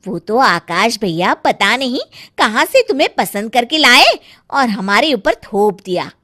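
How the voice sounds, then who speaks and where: A woman's voice: a little high, sing-song, a little slow, pushed and bright, dry recording, studio quality, exaggerated voice. A woman voicing a spiteful, taunting young sister-in-law in a cartoon family story. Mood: contemptuous. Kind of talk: Hindi moral-story cartoon acting.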